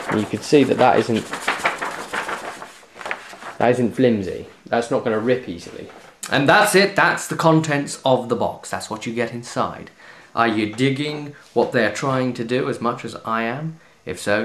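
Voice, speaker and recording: A man's voice: medium pitch, a little hiss or hum. A man hosting a casual unboxing video, chatting to viewers in an enthusiastic, emphatic way. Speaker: host of a tabletop gaming video series.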